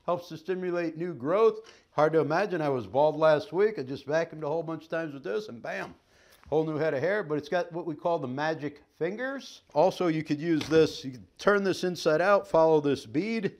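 Middle-aged male sales trainer, slightly high voice, folksy and upbeat demo patter, living room set. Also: moderate pace, a little mellow. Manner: folksy, upbeat, jokey patter while handling gear. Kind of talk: product demonstration.

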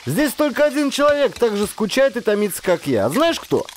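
A man's voice: very high voice, sing-song, a little fast, a little hiss or hum, pitched above the performer's own voice. A man voicing a talkative, simple-hearted sailor turned cook in an audiobook, complaining with folksy humor and self-pity. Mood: rueful, animated. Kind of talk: character dialogue in an audiobook.

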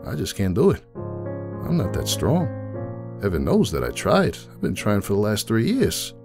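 Man voicing bitter grieving cafe-owner pony, low gravelly voice, weary, dramatic storytelling over music. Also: huge pitch swings, a little slow, full character voice. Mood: despairing resolve. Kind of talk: dramatic fan fiction reading in first person.

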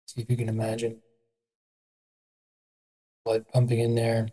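A meditation guide with an adult voice, leading a body scan in a calm, unhurried, gentle way with pauses. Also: medium pitch, breathy edge, low-quality recording.

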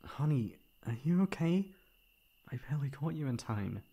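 A young man playing a caring, mildly exasperated boyfriend in an ASMR roleplay, coaxing and teasing with breathy sighs. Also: on the high side, big pitch swings, moderate pace, warm tone, heavily acted. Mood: concerned, coaxing.

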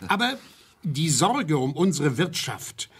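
An insistent industry lobbyist in a radio play. His mid-pitched husky voice moves in lively swings, with formal, insinuating diplomacy. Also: slow pace, heavily acted. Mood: diplomatic. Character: smooth-talking, insistent business lobbyist pressuring government. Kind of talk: German radio drama acting.

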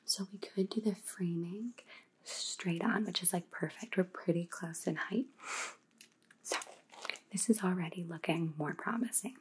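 Aloof trendy young housemate played by a woman, ASMR, mellow unhurried drawl, some hiss. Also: lowish voice, natural voice, low-quality recording. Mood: bossy, casual. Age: young adult. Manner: casual valley-girl drawl full of "like". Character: aloof, trend-obsessed young female housemate among vampires. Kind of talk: ASMR roleplay.

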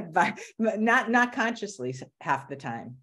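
A middle-aged woman from the Boston area, a career strategist talking casually as a guest on a video call, animated and restarting her words. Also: mid-range voice, slow. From Boston area, USA. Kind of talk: casual interview talk. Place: home room with shelves.

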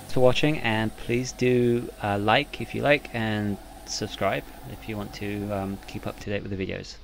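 A man giving an informal how-to voice-over as narrator, in a hesitant, halting way with short phrases. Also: fairly low pitch, level tone, creaky. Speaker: narrator, solo sailor demonstrating a homemade stove. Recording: music playing behind the voice.

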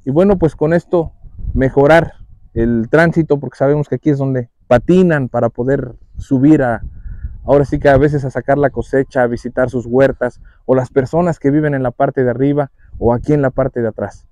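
A man's voice: medium pitch, steady tone, normal speed, warm tone, dry recording. A man in his thirties with a Mexican accent, giving a public speech outdoors as a municipal president, with many filler words. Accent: Mexican Spanish. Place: outdoors at a rural dirt road. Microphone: lapel mic.

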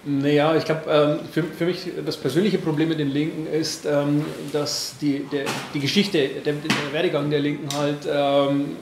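A man in his forties with an Upper Palatinate accent, answering questions at a press conference in a halting way, with many fillers and restarts. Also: a little high, level tone, roomy sound, a little hiss or hum, poor audio. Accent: Upper Palatinate, Franconian-tinged. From Bavaria, Upper Palatinate. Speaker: party board member at press conference.

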